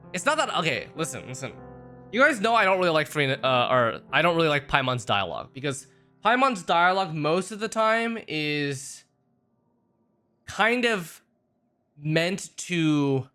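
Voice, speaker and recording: A man's voice: fairly high pitch, pushed and bright. A man giving casual, rambling, sweary commentary as a game livestreamer. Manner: rambling, repeats phrases, sweary. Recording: game music behind the voice.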